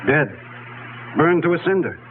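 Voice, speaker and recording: A man's voice: a little high, lively intonation, normal speed, natural voice. An actor as a shrewd policeman on tinny radio. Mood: dry, probing. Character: calm, shrewd male police investigator cornering a suspect. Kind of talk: 1970s radio drama acting. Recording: tinny vintage radio broadcast, faint hum.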